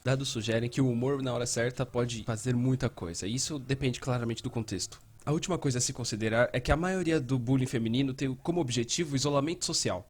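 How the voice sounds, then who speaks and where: A Brazilian man dubbing a mental health educator who explains things in a conversational, animated way. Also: medium pitch, fast talker, few pauses, natural voice. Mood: earnest, explanatory. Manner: animated, acting out example dialogue. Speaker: fan dubber of an educational video.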